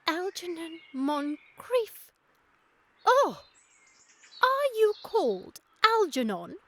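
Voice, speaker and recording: A woman's voice: sits high, lively intonation, unhurried, dry recording. A woman playing a sweet, prim Victorian girl in a radio comedy, with theatrical, precise diction. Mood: wounded, dramatic. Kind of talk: scripted radio comedy acting.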